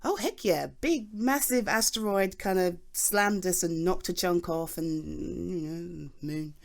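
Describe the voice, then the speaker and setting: A woman's voice: fairly low pitch, a little slow, creaky. A British woman playing a flustered, chatty geology nerd in an ASMR roleplay, explaining things in a rambling, hesitant, eager and informal way. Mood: musing, casual.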